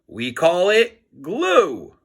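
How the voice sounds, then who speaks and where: Storybook boy sidekick, voiced by a man: confident, mischievous, raised pitch, lively swooping delivery. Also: very slow, vocal fry, heavily acted. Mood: deadpan showmanship.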